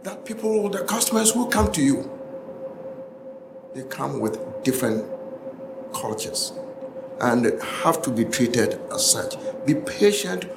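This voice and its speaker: College lecturer, male, Ghanaian accent, medium pitch, drives points home by repetition, reverberant room. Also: animated, slow pace, vocal fry, long pauses. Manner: emphatic, repeating phrases for stress. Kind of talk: classroom lecture. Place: classroom, indoors. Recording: echoey classroom, noisy, room ambience.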